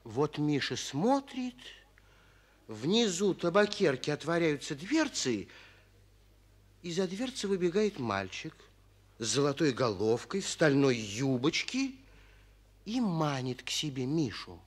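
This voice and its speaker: A man tells a fairy tale as a 1940s radio narrator. His voice is slightly high and very expressive, taking its time over the words. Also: slow pace, slightly rough.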